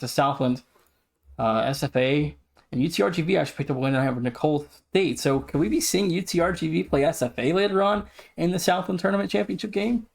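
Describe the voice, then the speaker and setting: A man's voice: fairly high pitch, vocal fry. A man co-hosting a sports podcast, casually running through standings and team updates. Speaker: podcast co-host.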